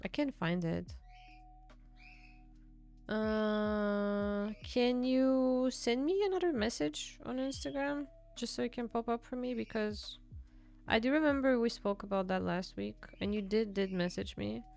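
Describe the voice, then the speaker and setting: Young woman streamer, chatty and upbeat, slightly high pitch with a gravelly edge. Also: a little mellow, soft background music. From Bulgaria. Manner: chatty, reads and answers chat, quick laughs. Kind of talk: casual livestream chat with viewers.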